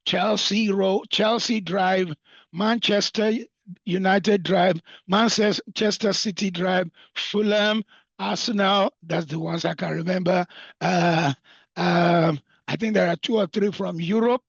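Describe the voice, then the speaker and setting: A man's voice: sits high, fairly even intonation, takes it slowly, creaky, breathy edge, no room sound, lo-fi sound. A man from northern Nigeria with a Nigerian accent, speaking off the cuff as a property developer promoting an estate, with emphatic repetition and lists. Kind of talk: unscripted promotional talk at a roundtable.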